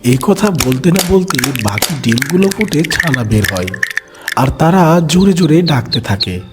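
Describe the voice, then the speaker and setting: A man's voice: a little high, animated, moderate pace, loud music behind the voice. A man narrating a scripted children's animal story.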